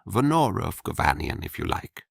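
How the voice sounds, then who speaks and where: A man doing a harsh-voiced forceful young woman. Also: big pitch swings, normal speed, vocal fry, breathy edge, no echo, clean studio sound, exaggerated voice. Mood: sharp. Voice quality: rather deep, harsh. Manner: blunt, sharp-tongued. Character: tall forceful young bonded maid, deep harsh voice, blunt.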